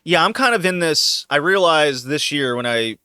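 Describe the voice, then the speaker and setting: A man hosting a casual podcast with a bright, forceful voice, laughing easily through loose chat. The sound is dry and close, with no room around it. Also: on the high side, animated, normal speed, smooth, dry recording. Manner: loose, joking, laughs often. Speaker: podcast host.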